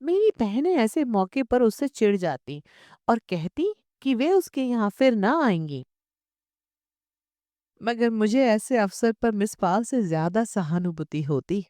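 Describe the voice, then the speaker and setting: A woman's voice: fairly high pitch, sing-song, measured pace, dark tone, no room sound, clean studio sound. A woman reading a literary short story as an audiobook narrator in a scripted style.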